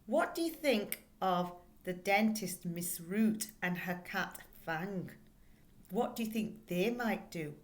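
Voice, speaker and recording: A middle-aged woman teaching, reading a children's book aloud in a deliberate, expressive way and putting questions to her listeners. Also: medium pitch. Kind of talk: read-aloud lesson for pupils, reading and explaining a children's book. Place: small plain indoor room. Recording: echoey, roomy indoor recording.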